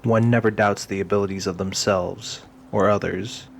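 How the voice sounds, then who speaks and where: A man's voice: on the low side, fairly even intonation, normal speed, gravelly, a little hiss or hum. A man narrating a scripted audio drama.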